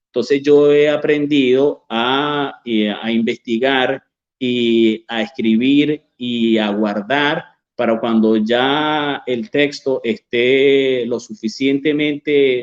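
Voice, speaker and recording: A man, a journalist and author, talking slowly in a recorded video conference, with many fillers and restarts. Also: mid-range voice, highs cut off. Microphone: video call.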